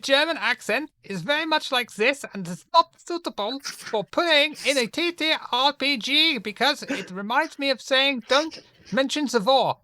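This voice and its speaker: A man putting on an exaggerated comic German accent, high and mocking. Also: expressive, a little slow, sharp tone, dry recording, full character voice.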